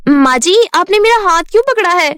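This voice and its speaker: A woman's voice: very high-pitched, expressive, moderate pace, fairly bright tone, voice pushed up. A woman voicing a sly, lazy young daughter-in-law with a sugary, wheedling way of making excuses. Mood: nervous, pleading. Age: young adult.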